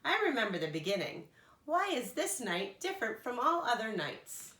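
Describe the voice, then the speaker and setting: A woman's voice: on the high side, big pitch swings, normal speed, bright, forceful tone, reverberant, pitched above the performer's own voice. A woman voicing a furry blue monster in a children's storybook, eager and polite, explaining things with earnest emphasis.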